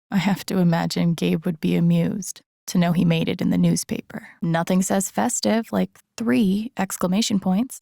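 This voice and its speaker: A woman voicing a young, introspective, dry-humored game heroine, murmuring her thoughts in a husky, breathy voice. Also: medium pitch, dark tone, no echo. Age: young adult. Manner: murmured, breathy thinking aloud, often near a whisper. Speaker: video game voice actress. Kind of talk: soft inner-monologue game voice acting.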